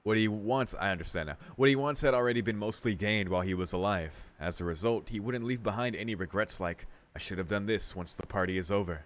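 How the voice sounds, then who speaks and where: A man's voice: fairly low pitch, quick pace, hardly any pauses. A man reading a visual novel aloud in a casual let's-play style, mixing narration with his own commentary.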